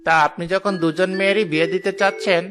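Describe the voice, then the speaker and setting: A man's voice: sits high, fairly even intonation, quick pace, background noise, close to an everyday voice. A man voicing an affable village matchmaker in a cartoon story. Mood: affable, persuasive. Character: affable village matchmaker praising prospective grooms. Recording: music behind the voice.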